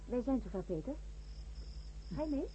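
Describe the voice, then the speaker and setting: A woman playing a practical, insistent female astronaut who reasons briskly in a Dutch science-fiction radio drama. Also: sits high, big pitch swings, mellow, muffled recording. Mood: firm, caring. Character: resolute, practical female astronaut leading stranded companions. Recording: noisy radio play with cricket ambience.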